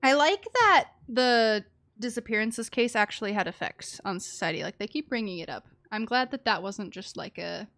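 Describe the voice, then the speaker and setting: A woman game streamer giving casual, chatty live commentary with an animated, empathetic manner. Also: medium pitch, moderate pace, no room sound. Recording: game music behind the voice.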